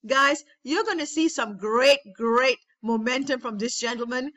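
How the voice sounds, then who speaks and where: Woman trainer on a webinar call, high voice, enthusiastic and repetitive. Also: unhurried, a bit bright. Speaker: network marketing trainer.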